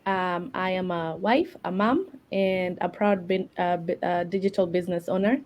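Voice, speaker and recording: A woman's voice: lowish voice, normal speed, darkish tone. A Kenyan woman introducing herself on an online panel, a little hesitant and good-humored. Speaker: panel guest, nurse and mother. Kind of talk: casual panel talk. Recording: dull lo-fi sound.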